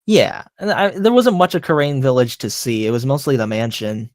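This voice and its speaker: A man's voice: mid-range voice, moderate pace. A man chatting casually in a debate, talking in a hesitant, conceding way. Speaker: co-host of a ranking discussion.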